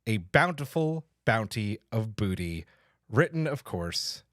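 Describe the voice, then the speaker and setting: Animated male podcast host. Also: fairly low pitch, slow, no room sound, studio quality. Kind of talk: casual podcast chat.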